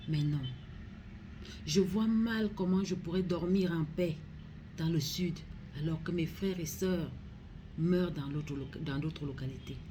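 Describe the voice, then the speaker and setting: A woman with a Cameroonian accent giving a solemn public address as a regional councillor, grave and pleading, in deliberate phrases. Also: deep voice, background noise.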